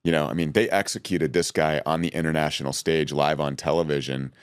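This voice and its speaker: A man's voice: very deep voice, fairly even intonation, a little fast, clear, resonant tone, no room sound, clean studio sound. A man in his forties chatting casually as the host of a podcast interview. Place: small podcast studio, indoors. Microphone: close podcast microphone on a boom arm.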